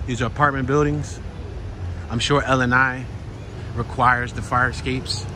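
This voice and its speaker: A man giving a relaxed walking tour outdoors, pointing out sights and thinking aloud as he goes. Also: medium pitch, unhurried. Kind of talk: casual walking tour commentary. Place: city streets outdoors. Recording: street noise behind the voice. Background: traffic and street noise.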